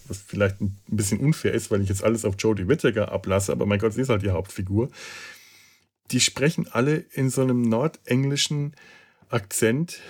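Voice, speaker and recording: A German man hosting a podcast, giving a casual spoken review with many halting restarts and fillers. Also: mid-range voice, darkish tone. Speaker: podcast host.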